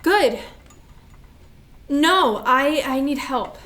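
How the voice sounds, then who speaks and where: High-pitched, troubled younger sister, anxious and defiant, played by a woman, stage-play drama. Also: expressive, very slow, a bit bright, exaggerated voice. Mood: frantic, uneasy.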